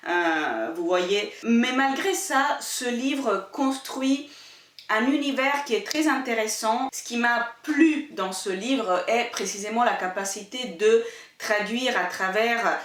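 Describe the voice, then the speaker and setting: A woman's voice: on the high side, takes it slowly, a bit bright. Woman vlogging, careful explainy talk, sounds kinda far from the mic in an echoey room. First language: Italian. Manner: careful, explanatory, rephrases points. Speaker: book and culture YouTuber. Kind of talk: casual talk to camera.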